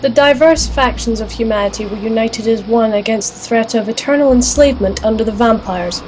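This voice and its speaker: The rebel heroine who narrates a fantasy radio serial, wry, defiant and high-voiced over faint noise a woman acting. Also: fairly quick, darkish tone, close to an everyday voice, low-quality recording. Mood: wry, resolute. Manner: wry, assertive. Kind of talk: first-person narration and audio drama acting.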